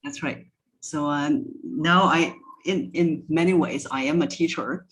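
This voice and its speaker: A woman who works as a voice coach, answering interview questions conversationally with measured, hesitant phrasing. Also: sits low, low-quality recording. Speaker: voice coach and podcast guest.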